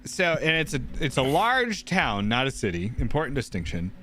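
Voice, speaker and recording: A man's voice: medium pitch, huge pitch swings, moderate pace, fairly bright tone. A man running a tabletop game as game master, chatting casually in an easygoing, amused manner. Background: faint music.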